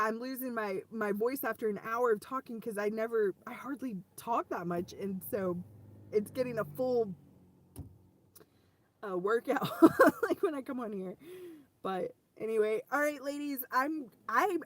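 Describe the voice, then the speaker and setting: Hoarse woman talking faith, rambling. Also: a little high, a little slow, no echo. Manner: rambling, with frequent restarts and "you know". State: hoarse, losing her voice. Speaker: ministry host speaking to viewers. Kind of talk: casual faith talk on a livestream.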